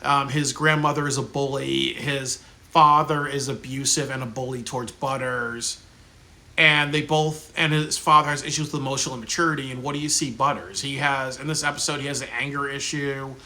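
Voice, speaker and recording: A man's voice: mid-range voice, level tone, fairly quick, sharp tone. A man giving a casual, rambling spoken review, full of fillers like "um" and "you know" and frequent restarts. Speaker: video reviewer.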